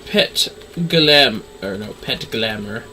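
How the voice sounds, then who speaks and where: A man's voice: on the low side, expressive, takes it slowly, bright, forceful tone, a little hiss or hum. A man doing casual livestream game commentary, talking to himself as he plays and reading game text aloud. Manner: talks to self while playing. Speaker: livestream gamer, VTuber.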